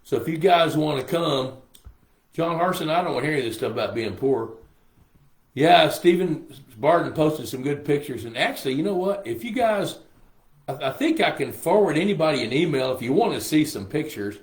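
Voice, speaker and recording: An older man, a little hoarse, chatting casually with livestream viewers in a folksy way. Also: fairly high pitch, expressive, moderate pace. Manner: chatty, folksy, reads viewer comments aloud. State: hoarse from a lingering cough. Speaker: host. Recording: roomy echo on a live stream.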